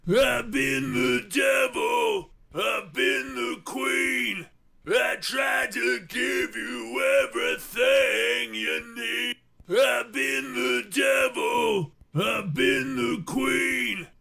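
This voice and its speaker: A man singing a rock vocal in a strained, half-shouted belt. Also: very high-pitched, very slow, creaky. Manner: strained, belting.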